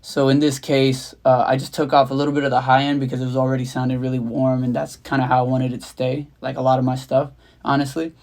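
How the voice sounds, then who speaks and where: A man's voice: medium pitch, level tone, fast talker, a little mellow. A young man hosting a casual livestream, rambling loosely, laughing at himself and swearing offhandedly from his home studio. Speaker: rapper and beatmaker, livestream host.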